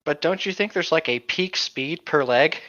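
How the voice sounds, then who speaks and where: A man's voice: on the high side, moderate pace, sharp tone. A man chatting casually as a podcast co-host in a skeptical, questioning way, on a slightly lo-fi recording. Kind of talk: casual chat. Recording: slightly dull, lo-fi sound.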